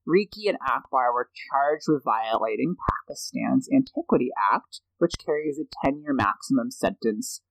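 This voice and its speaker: A man's voice: medium pitch, lively intonation, normal speed, creaky, few pauses, a bit bright, dry recording, low-quality recording. Chatty man telling a story. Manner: chatty, jokey, quotes sources aloud. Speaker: podcast guest. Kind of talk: casual storytelling chat.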